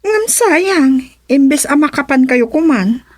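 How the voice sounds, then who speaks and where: A woman's voice: high-pitched, lively intonation, slow pace, a little mellow, no echo, studio quality. A woman playing a businesslike madam who recruits villagers for overseas jobs in an Ilocano radio comedy drama, giving instructions with an Ilocano accent. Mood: pressing, businesslike. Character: brisk madam overseas job recruiter pressing villagers for payment.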